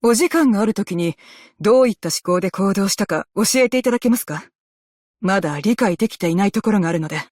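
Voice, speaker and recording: A woman playing a composed, dutiful female naval officer in a game, speaking with measured, formal military politeness. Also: medium pitch, expressive, a little mellow, no room sound, studio quality, close to an everyday voice. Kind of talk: scripted game character voice lines in Japanese.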